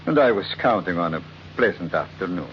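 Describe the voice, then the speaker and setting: An actor playing a suave, menacing blackmailer with polite, mockingly formal manners in an old-time radio drama. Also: male voice, deep voice, expressive, brisk. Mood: smugly threatening. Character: suave, menacing male blackmailer living lavishly. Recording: muffled, noisy old radio recording.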